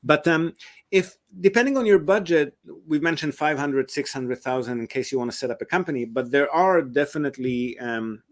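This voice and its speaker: A man's voice: a little high, lively intonation, moderate pace. A man, a real estate investment advisor, answers interview questions at length, explaining step by step with frequent ums and uhs.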